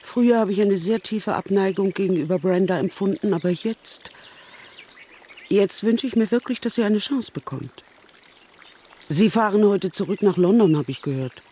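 An actress playing a firm, composed older great-aunt in a crime radio play, speaking calmly. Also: female voice, medium pitch, moderate pace, a little hiss or hum, natural voice.

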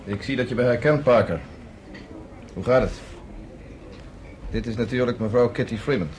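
An actor playing a confident, courteous underground leader in a radio play, speaking in a measured, formal way. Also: male voice, mid-range voice, darkish tone, a little hiss or hum, lightly acted. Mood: courteous, assured. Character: confident, persuasive underground leader, former army captain, courteous.

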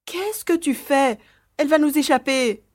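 A woman's voice: high voice, measured pace. A woman playing an impulsive female agent on a stakeout, thinking aloud in an audio drama. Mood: urgent, impatient. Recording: echoey hall-like reverb.

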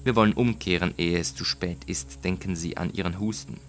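Guy acting a sly polite nobleman in an audiobook, deep voice, fussing with fake concern, music underneath. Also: steady tone, natural voice. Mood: feigned concern. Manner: courteous, insistent, measured. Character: cunning avenging nobleman feigning polite concern.

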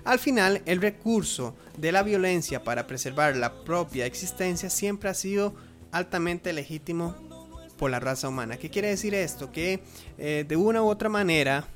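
A Costa Rican man presenting informally as a radio host, halting and repeating words as he searches for phrasing. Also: high voice, lively intonation. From Costa Rica. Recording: faint music behind.

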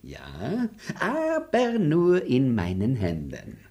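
A smug, stingy bailiff in a radio play, bragging and mocking with a lively, bouncing delivery. He mutters sly asides under his breath. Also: mid-range voice, slow, exaggerated voice. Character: boastful, dim male bailiff, smug and stingy.

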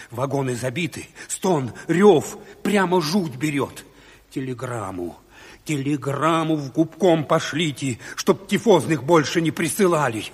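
An actor playing a harried station commandant in a radio drama, husky and urgent, repeating himself. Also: male voice, a little high, exaggerated voice. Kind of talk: theatrical Soviet radio drama acting. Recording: reverberant hall, stage recording.

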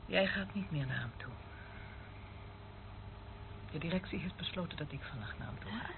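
A woman's voice: low-pitched, lively intonation, normal speed, gravelly. Firm female operative, phone-like recording. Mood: stern, scolding. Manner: curt, firm. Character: stern, jealous woman in charge of secret operation. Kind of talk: Dutch radio play acting. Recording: narrow phone-like old radio recording, faint noise.

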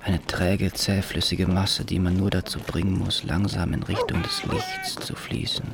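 A resigned, meek captured soldier in a radio drama. His deep voice crackles and moves expressively in pitch, yet the delivery stays understated. Loud music sits behind him. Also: wildly swooping pitch, a little slow, full character voice. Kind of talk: radio drama acting, first-person asides.

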